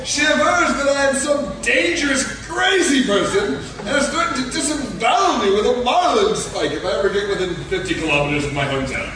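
Pompous gentleman adventurer, grandly earnest radio comedy, high pitch, distant mic. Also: animated, a little fast, hardly any pauses. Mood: smug. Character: pompous, oblivious, self-styled heroic gentleman adventurer. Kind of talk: comic radio theatre acting. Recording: echoey noisy hall, distant mic.

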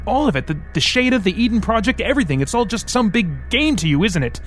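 A man's voice: high voice, expressive, fast, fairly bright tone, pitched above the performer's own voice. A man voicing a frightened young man who pushes back with shaky courage in an audiobook. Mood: anxious. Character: frightened young man, defiant under pressure.

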